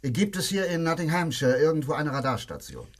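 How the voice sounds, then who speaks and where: An actor playing a courteous but firm doctor who asks deliberate, hesitant questions in a German radio play. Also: male voice, on the high side, animated. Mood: polite, inquiring.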